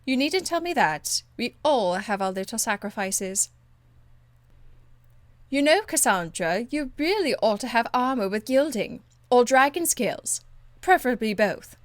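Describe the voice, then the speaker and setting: A woman's voice: fairly high pitch, sing-song, a little slow, dry recording. A woman voicing a proud, elegant, condescending court enchantress in a video game, with polished, haughty phrasing. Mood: silky, teasing. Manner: haughty, polished, drawling endearments. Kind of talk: video game dialogue acting.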